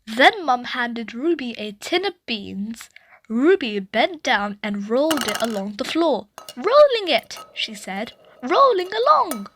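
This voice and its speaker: A woman reading a children's story aloud in an expressive narrating style. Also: fairly high pitch, measured pace. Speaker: storybook reader. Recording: roomy echo, music.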